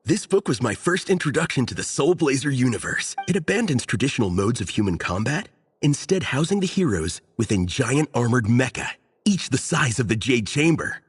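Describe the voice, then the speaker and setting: A man's voice: medium pitch, sing-song, normal speed, slight background noise, close to an everyday voice. A man voicing an earnest, opinionated young book nerd in a video game, fussy and enthusiastic as he explains. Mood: nostalgic enthusiasm. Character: earnest, opinionated, nerdy young man, devoted light-novel fan.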